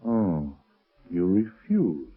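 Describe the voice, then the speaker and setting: Casting: sardonic villain, husky, swooping intonation, threatening, vintage radio thriller with tinny sound. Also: male voice, sits low, big pitch swings, drawn-out words, exaggerated voice. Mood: sardonic, suspicious. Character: cold, menacing male crime boss, sneering. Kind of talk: 1930s-40s radio drama acting.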